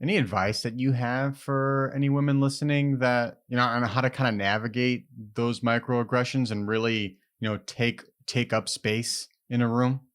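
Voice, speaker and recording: Mid-pitched male podcast host. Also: fairly even intonation, normal speed, few pauses, a bit bright, clean tone. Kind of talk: podcast intro and interview questions.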